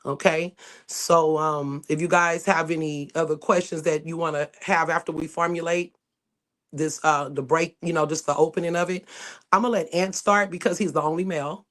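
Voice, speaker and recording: A woman's voice: sits low, fairly bright tone. A woman hosting a casual community panel over a live video call. Her talk is loose and rambling, and she restarts sentences as she goes. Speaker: panel host.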